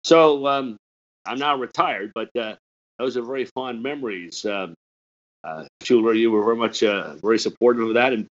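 An older retired man hosting a podcast, hoarse and raspy from a cold, talking in a hesitant way with many fillers and restarts. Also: mid-range voice, moderate pace, lo-fi sound. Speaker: podcast host. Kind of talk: casual interview chat.

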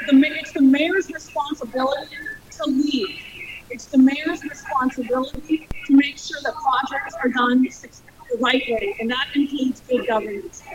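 Sex: woman. Role: debate candidate. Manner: loud, forceful, repeating her points. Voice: high. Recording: dull and noisy. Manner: forceful, repetitive campaign rhetoric. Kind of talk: debate statement.

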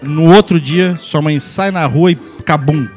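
A man's voice: fairly high pitch, unhurried, soft background music. A Brazilian man in his forties with a Brazilian Portuguese accent, hosting a joking podcast chat and reading news aloud. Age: 40s. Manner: joking, animated, leads segments. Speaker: podcast host.

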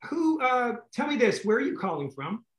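A man hosting a community video call, chatting with excitable bursts and restarts. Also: extremely high pitch, roomy sound. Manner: excitable, quick swings to loud bursts, repeats himself. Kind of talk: enthusiastic casual chat.

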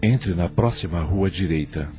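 A man playing a calm, commanding spirit who leads a rescue team in a radio drama. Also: sits low, a little slow, faint music behind. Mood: calm authority. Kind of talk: radio soap opera acting.

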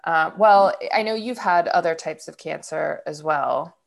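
Creaky-voiced chatty woman. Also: sits low, measured pace. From Ohio, USA. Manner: chatty, rambling with fillers and laughs. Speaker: podcast host. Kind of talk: casual conversation.

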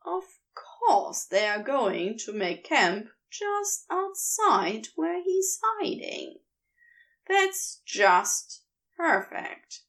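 Slow expressive woman narrating. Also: high-pitched. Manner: measured expressive storytelling. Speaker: podfic reader. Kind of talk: fanfiction audiobook narration.

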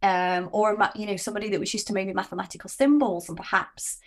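A woman's voice: medium pitch, quick pace. Encouraging woman hosting a podcast. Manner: encouraging, affirming, musing aloud. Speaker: podcast host. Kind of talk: conversational podcast interview.

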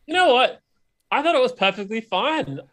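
A man's voice: very high voice, expressive, normal speed, fairly bright tone. A man co-hosting a podcast in a casual, conversational style. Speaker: podcast co-host. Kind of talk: casual chat.

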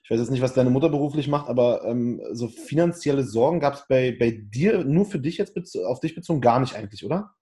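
A man's voice: mid-range voice, animated, brisk, hardly any pauses, dark tone. A man hosting a casual interview, asking loose, chatty questions. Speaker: podcast host.

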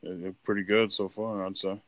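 A man's voice: deep voice, flat delivery, fairly quick, a bit bright, no room sound. A man in casual chat as a podcast guest, rambling with many fillers and restarts. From United States.

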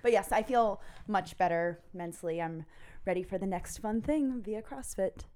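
A woman's voice: medium pitch, moderate pace, warm tone. A woman co-hosting a podcast, chatting casually and laughing easily. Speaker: guest co-host. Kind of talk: casual chat.

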